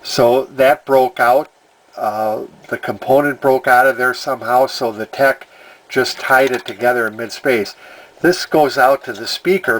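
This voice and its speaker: A man giving a casual hands-on repair walkthrough as a hobbyist host, thinking aloud haltingly and often repeating words. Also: medium pitch, slight background noise, poor audio. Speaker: vintage radio repair hobbyist and video host.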